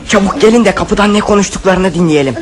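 A male actor voicing an eager, mischievous young son in a vintage radio play, urging in a hurried, conspiratorial way. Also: sits high, background noise, natural voice. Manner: hurried, conspiratorial whispering urgency.

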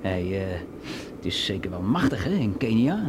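A man's voice: sits low, sing-song, normal speed, slight background noise. An actor playing a cocky, pushy young man in a radio play, slangy and insinuating, with some hesitation. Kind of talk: Dutch radio drama acting.

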